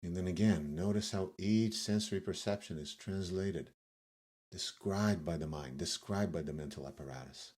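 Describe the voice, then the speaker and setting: Male meditation guide, low and a little breathy-rough, delivering yoga nidra instructions in measured, pause-filled phrases. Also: a little mellow.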